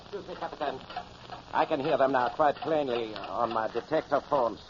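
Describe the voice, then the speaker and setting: A man's voice: medium pitch, normal speed, natural voice. An actor playing a respectful, uneasy submarine crewman in a vintage radio drama, subdued and deferential. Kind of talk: 1950s radio drama acting. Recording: hissy, muffled vintage radio recording.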